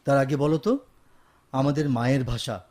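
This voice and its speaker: An actor in a Bengali radio drama playing an affectionate young father and devoted son, gentle and earnest, with a Bangladeshi accent. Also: mid-range voice, steady tone, normal speed, close to an everyday voice. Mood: fatherly, encouraging.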